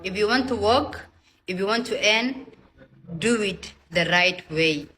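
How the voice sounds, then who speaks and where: Slow-talking woman making an earnest appeal, noisy recording. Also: medium pitch, lively intonation, bright, forceful tone. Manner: pleading, emphatic, deliberate. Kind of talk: earnest direct-to-camera appeal. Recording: noisy, with background ambience.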